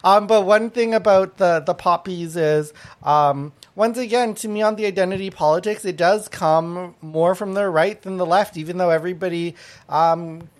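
A man's voice: high voice, moderate pace. A man born in Thailand hosting a podcast in casual, laughing, blunt chat, swearing often. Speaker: podcast host.

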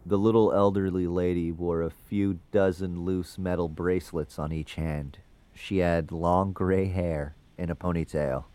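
Deep-voiced ghost hunter narrator. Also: male voice, fairly even intonation, a little slow, dark tone. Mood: measured, observant. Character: brooding male ghost hunter narrating his investigation in first person. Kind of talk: scripted first-person audio drama narration.

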